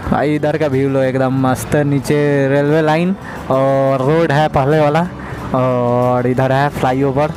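A young man gives chatty, excited commentary while riding a motorbike, his phone mic right at his mouth. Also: medium pitch, measured pace, music in the background, rough recording. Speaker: motovlogger. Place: on a motorbike on an open highway. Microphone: phone mic pressed close to mouth. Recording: loud wind and road noise.

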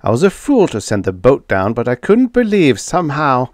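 A man voicing a gaunt, tired starship captain giving a brisk, plain account. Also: medium pitch, wildly swooping pitch, a little mellow, no echo, studio quality, voice pushed up. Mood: tense. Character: gaunt, weary starship captain, steady and determined.

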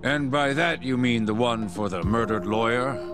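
An actor playing a guarded, haughty middle-aged senior official in a video game, with formal, aloof speech. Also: male voice, medium pitch, moderate pace, lightly acted. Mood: guarded, dismissive. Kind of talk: video game cutscene acting. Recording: music behind the voice.

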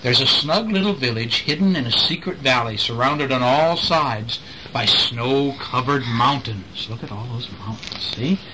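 A middle-aged man reading a picture storybook aloud to a child in an expressive way, with chatty asides and questions. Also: medium pitch, a little slow. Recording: home recording with faint background noise.

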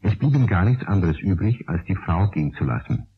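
An actor as the storyteller of a children's radio play, narrating. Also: male voice, deep voice, very animated, fairly quick, slightly rough, heavily acted. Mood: storytelling. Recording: phone-like, narrow old radio recording.

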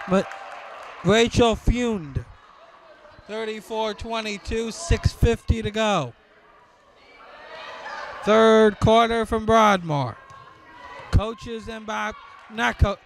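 Male play-by-play caller, high-pitched and unhurried, over a loud school gym crowd. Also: vocal fry, slight background noise. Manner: slow clipped calls with occasional shouting. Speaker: basketball play-by-play announcer. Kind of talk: live sports commentary. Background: crowd and bouncing ball.

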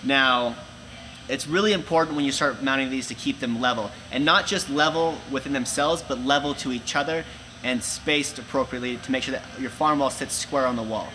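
Young man explaining a setup, bright voice. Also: mid-range voice, brisk, a little hiss or hum, low-quality recording. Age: young adult. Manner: clear step-by-step explaining with hand gestures. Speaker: company product presenter. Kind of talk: instructional how-to video. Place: large indoor room with a gray wall.

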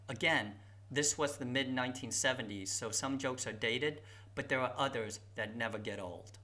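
A man's voice: medium pitch, moderate pace, bright, forceful tone. A man in his fifties, a YouTube host, narrating a reflective, personal video essay.

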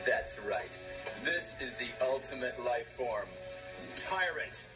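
A man playing a smug, cold traitor commander in a nineties horror game, stilted and deliberate. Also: medium pitch, lively intonation, roomy sound, over music. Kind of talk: cheesy 1990s video game acting.

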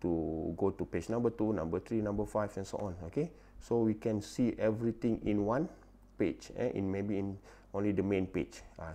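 A man's voice: lowish voice, warm tone. A Malaysian-accented man in his thirties giving an informal slide lecture, repeating points and tagging phrases with "eh" and "okay". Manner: repetitive, with frequent "eh" and "okay" tags.

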